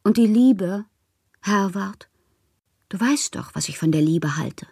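A woman playing a moody, fanciful bohemian poet in a radio drama, reading her confessional letters aloud with expressive, theatrical, poetic phrasing. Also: mid-range voice, slow, gravelly, slightly rough, no echo, clean studio sound, exaggerated voice. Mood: morose, impassioned.

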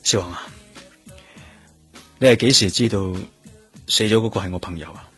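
An actor playing an earnest, brooding police officer in a radio drama, sincere and restrained, with a Hong Kong Cantonese accent. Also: male voice, on the low side, moderate pace, a bit bright. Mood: restrained, troubled. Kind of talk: Cantonese radio drama acting with inner monologue. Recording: music clearly underneath the voice. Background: music.

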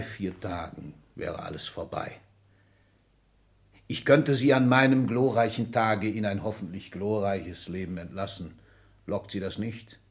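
A man acting an imperious older aristocrat in a 1960s German radio play, commanding and condescending in abrupt sentences. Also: fairly low pitch. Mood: coaxing. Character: imperious older aristocratic Excellency, restless military-minded patriarch.

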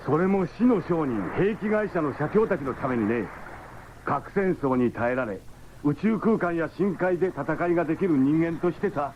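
A man's voice: on the high side, lively intonation, moderate pace, close to an everyday voice. A man playing a cynical, bitter cyborg soldier with a sardonic delivery in a 1970s radio drama. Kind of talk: 1970s anime-style radio drama acting. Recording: dull, slightly noisy 1979 radio recording.

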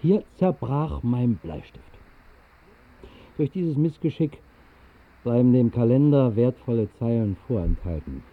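A wry vagrant poet narrating a radio play. His medium-pitched delivery is dry and measured. The old recording sounds thin, like a phone line. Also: very animated. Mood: wry narration. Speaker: first-person narrator. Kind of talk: 1960s literary radio drama narration.

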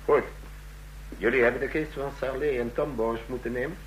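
An actor playing the curt, authoritative chief of a fascist secret police in a vintage radio play. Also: male voice, mid-range voice, fairly even intonation, moderate pace, close to an everyday voice, rough recording. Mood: curt, commanding. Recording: old radio recording, hum and hiss.

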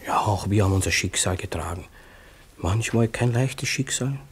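An actor playing a pompous, sanctimonious busybody in a radio play, unctuous and declamatory. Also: male voice, lowish voice, moderate pace, a little hiss or hum, natural voice. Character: pompous, sanctimonious petty-bourgeois eavesdropper, meddling apologist. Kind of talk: German radio play acting.

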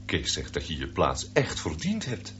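A muffled, faintly noisy radio play recording holds a middle-aged father. The voice is low with lively swings, hesitant and placating. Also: normal speed, heavily acted. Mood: hesitant, proud. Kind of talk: radio drama acting.

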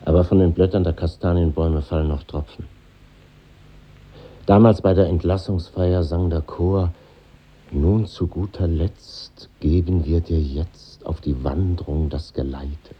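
An actor playing a reflective middle-aged conductor revisiting his old school, husky and musing, in a 1950s German radio drama. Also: sits low, highs cut off. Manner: musing, pausing, nostalgic interior monologue.

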